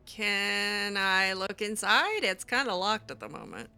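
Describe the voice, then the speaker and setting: A woman doing casual, in-character video game commentary, chatting and thinking out loud as she plays. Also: mid-range voice, big pitch swings, unhurried, clean tone, faint music behind. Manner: chatty, musing aloud. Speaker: gamer narrating a roleplay playthrough.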